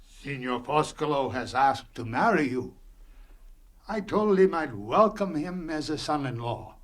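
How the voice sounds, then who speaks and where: An actor playing a poor, earnest father in a radio play, speaking in a formal, pleading, deliberate manner. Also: a little high, animated.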